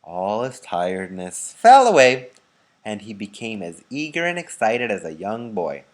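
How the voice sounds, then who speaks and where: A man reading a children's story aloud with expressive, dramatic emphasis. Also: fairly low pitch, a little slow. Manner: dramatic emphasis with informal asides. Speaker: read-aloud narrator.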